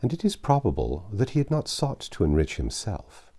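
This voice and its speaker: A man narrating a classic novel in an expressive, measured style with dramatic pauses. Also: fairly low pitch, husky. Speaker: audiobook narrator. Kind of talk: expressive literary storybook narration.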